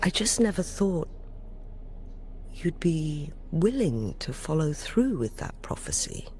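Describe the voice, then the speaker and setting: Grave, slow-spoken female elder. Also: low-pitched, big pitch swings, dark tone, heavily acted. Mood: wistful. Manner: deliberate, formal, reflective. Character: grave, caring female elder guardian, reflective and dignified. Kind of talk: scripted video game voice acting. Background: music behind the voice.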